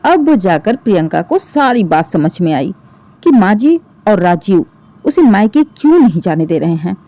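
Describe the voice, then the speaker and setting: A woman's voice: mid-range voice, sing-song, normal speed, mellow, dry recording. A woman narrating a scripted family story expressively as a storyteller.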